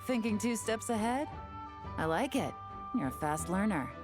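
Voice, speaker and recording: An actress playing a cool, confident intelligence operative in a video game, briefing allies with composed assurance. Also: female voice, medium pitch, expressive, normal speed, over music, natural voice. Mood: amused, analytical.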